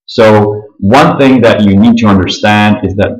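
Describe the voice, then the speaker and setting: A man with a Czech accent walking through software setup, pausing often with fillers and clearing his throat. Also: fairly low pitch, fairly even intonation, echoey room, rough recording. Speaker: software tutorial presenter. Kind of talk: screen-recorded software walkthrough.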